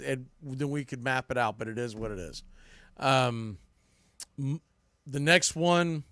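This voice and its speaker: A man's voice: a little high, clean tone, no echo, studio quality. A man hosting a baseball podcast, giving off-the-cuff preview commentary and thinking aloud as he corrects himself.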